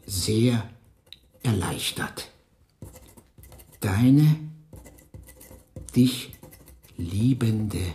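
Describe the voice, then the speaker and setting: A man's voice: medium pitch, darkish tone. An actor playing a cynical male master forger, pedantic and smug, savoring words in a radio drama, with a roomy sound. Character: cynical male master forger, powerful camp prisoner boss. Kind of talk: theatrical radio drama acting. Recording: roomy, reverberant studio sound.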